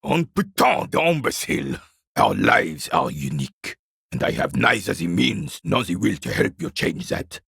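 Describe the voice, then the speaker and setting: An actor playing a proud, bitter old royalist veteran in a video game, gruff and scornful, with a gravelly, raspy voice. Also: male voice, low voice, big pitch swings, measured pace, a bit bright, no echo, clean studio sound, exaggerated voice. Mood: irritated. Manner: gruff, scornful, theatrical, barking at younger men. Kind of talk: video game character dialogue.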